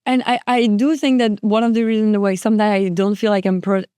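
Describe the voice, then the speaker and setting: Smooth-voiced, hesitant woman guest. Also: mid-range voice, level tone, brisk, dark tone, dry recording, clean studio sound. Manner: hesitant, full of "like" and restarts. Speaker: podcast guest, designer. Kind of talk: casual chat.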